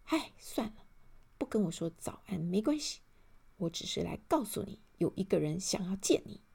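A woman's voice: mid-range voice, lively intonation, fairly quick, creaky, warm tone, husky, full character voice. A woman voicing a tiny, playful, unflappable elf in a children's story, teasing and carefree. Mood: nonchalant.

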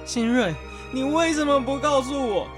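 A man's voice: very high voice, moderate pace. A man playing an anguished young lover in a radio drama, urgent and pleading. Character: anguished young man desperate over his lost love. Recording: prominent music behind the voice.

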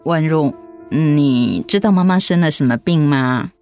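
Low-voiced gentle mother, music behind. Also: unhurried. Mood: gentle, reassuring. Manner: gentle, hesitant, conversational with soft fillers. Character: gentle middle-aged mother recovering from cancer. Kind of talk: radio drama acting.

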